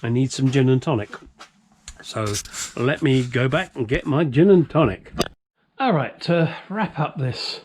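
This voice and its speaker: A man's voice: medium pitch, a little slow, a little mellow, a little hiss or hum. A middle-aged man with a British accent hosting a workshop vlog, talking casually and ramblingly in a jokey, self-deprecating, blunt way. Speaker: host of a classic car workshop vlog. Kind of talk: casual, rambling talk to camera. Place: home workshop.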